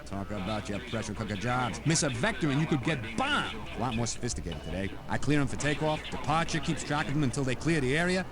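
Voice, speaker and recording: A man playing an easygoing, seasoned air traffic controller, chatting in a folksy, dryly joking way as a tour guide in a computer game. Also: sits high. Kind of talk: scripted casual tour narration with radio calls.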